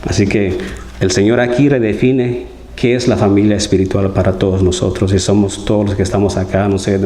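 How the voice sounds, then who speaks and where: Low-voiced guy preaching earnestly, roomy church echo. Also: flat delivery, fairly quick, warm tone, a little hiss or hum. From Guatemala. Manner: earnest, pastoral, often asks the listeners for agreement. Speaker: lay preacher. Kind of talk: conversational sermon.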